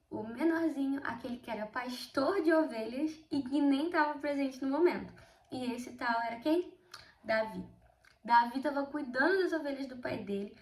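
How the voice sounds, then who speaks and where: A sixteen-year-old girl with a Brazilian accent, slightly hoarse from a cold, chatting through a casual Bible study vlog and often addressing her viewers. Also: high voice, echoey room. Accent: Brazilian Portuguese. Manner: chatty, keeps addressing viewers, emphatic storytelling. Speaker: video host.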